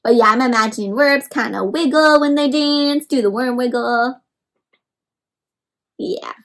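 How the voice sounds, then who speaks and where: A woman reading a picture book aloud for children in an animated, encouraging way, addressing them directly with questions and simple explanations. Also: high-pitched, a little slow. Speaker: read-aloud storyteller for children.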